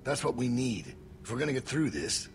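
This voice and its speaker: A menacing middle-aged survivalist boss from a dramatic game. His voice is gravelly and swings widely in pitch, with a measured, commanding drawl over music. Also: very animated. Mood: calm, ominous. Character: menacing, philosophizing survivalist community leader, middle-aged man. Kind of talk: dramatic video game acting.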